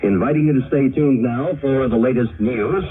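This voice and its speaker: A man's voice: mid-range voice, moderate pace, a crowd in the background. Old shortwave recording of an American male announcer. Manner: formal, scripted delivery. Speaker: radio announcer. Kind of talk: 1960s radio announcing. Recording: old dull band-limited shortwave radio recording.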